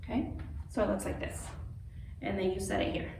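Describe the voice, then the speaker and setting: A woman's voice: mid-range voice, a little fast, vocal fry, a little mellow. A woman giving an informal step-by-step craft demonstration as an instructor, reassuring and often checking in with an "okay". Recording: roomy, distant mic with faint noise.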